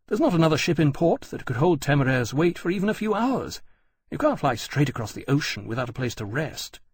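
A man's voice: a little high, very animated, fairly quick, slightly rough, no echo, clean studio sound, voice pushed up, heavily acted. A man voicing a blunt, dismissive and sardonic British sea captain in an audiobook. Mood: incredulous.

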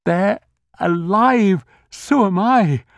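A man's voice: a little high, big pitch swings, slow pace, dark tone, slightly rough, no room sound, voice pushed up, full character voice. A man doing a shaken, desperate wartime man. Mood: frantic. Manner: gasping, urgent, overwrought.

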